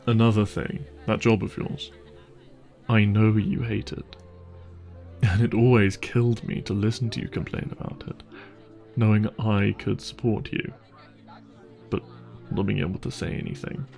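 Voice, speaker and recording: Earnest, low-voiced boyfriend played by a man, gravelly. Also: steady tone, normal speed, long pauses, darkish tone. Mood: earnest, resolute. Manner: earnest, tender, hesitant phrasing with sighs. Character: apologetic, devoted, secretly wealthy boyfriend on a dinner date. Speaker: voice actor. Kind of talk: intimate roleplay audio drama.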